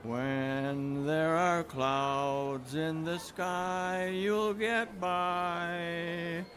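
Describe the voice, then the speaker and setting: Very slow, gentle street singer, played by a man. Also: a little high, steady tone, faint music behind. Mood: gentle, grateful. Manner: slow, courteous, half-sung. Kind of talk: 1930s radio acting.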